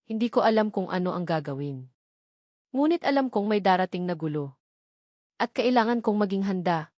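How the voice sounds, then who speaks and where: A woman's voice: medium pitch, animated, normal speed, a little mellow, dry recording, clean studio sound. A woman reading a scripted dramatic love story as a narrator.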